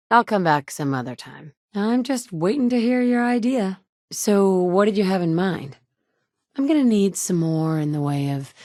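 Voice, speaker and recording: A woman's voice: on the low side, dry recording. An actress voicing a blunt, sarcastic woman in a game, a protective mother with a dry, no-nonsense manner. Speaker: player character. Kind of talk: video game dialogue.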